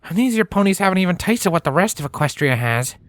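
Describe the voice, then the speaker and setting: A woman's voice: fairly low pitch, lively intonation, fast talker, no echo, lightly acted. A woman voicing a folksy country mare. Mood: wry. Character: folksy country cowgirl pony, plainspoken mare. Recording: music behind the voice.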